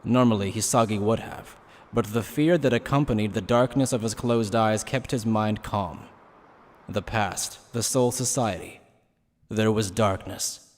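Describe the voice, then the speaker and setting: A man's voice: fairly low pitch, unhurried, big-room sound. A man narrating a dramatic scripted fantasy action story.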